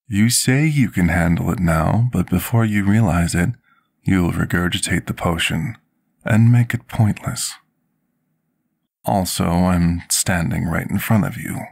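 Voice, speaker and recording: ASMR fantasy roleplay: humble male potion master played by a man, very deep, gravelly voice, measured formal delivery, apologetic and dutiful. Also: darkish tone, exaggerated voice. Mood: patient, instructive. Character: devoted, apologetic male potion master tending a dragon patient.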